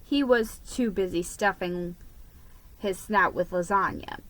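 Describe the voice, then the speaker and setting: A woman giving a deliberate, theatrical reading of a children's story as its narrator. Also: mid-range voice, a bit bright, dry recording, low-quality recording. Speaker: storybook reader.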